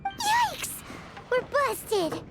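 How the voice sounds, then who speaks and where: A woman voicing a tiny, childlike, blunt and excitable fairy companion in a fantasy video game, with a squeaky voice. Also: very slow, creaky, background noise, exaggerated voice. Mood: alarmed.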